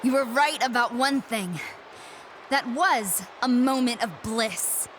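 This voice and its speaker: A woman's voice: fairly high pitch, slow pace, background noise. A woman playing an arrogant, sneering wrestling champion in a game, with a smug, sarcastic and cutting delivery. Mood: gloating. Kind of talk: scripted sports-entertainment promo.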